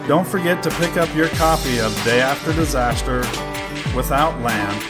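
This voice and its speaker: A man's voice: on the high side, normal speed. A man reading a scripted podcast outro as the announcer. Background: loud plucked guitar music.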